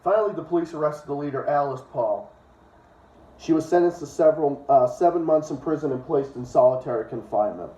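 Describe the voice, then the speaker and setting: A man's voice: a little high, fairly quick, mellow. A man reading a book aloud and breaking in with opinionated, sometimes profane commentary. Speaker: online reader and commentator. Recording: echoey room, distant dull microphone.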